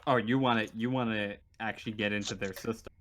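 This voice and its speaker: A man acting as game master, improvising narration and table talk in a halting way, repeating words as he thinks aloud. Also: fairly low pitch, fairly even intonation, a bit bright.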